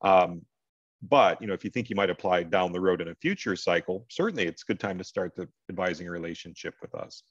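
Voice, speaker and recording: A man's voice: low voice, expressive, brisk, no room sound. A middle-aged American man hosting an online advising session, talking informally and explaining things directly to his audience. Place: indoors, on a video call. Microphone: video call microphone. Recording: dull, lo-fi video call audio.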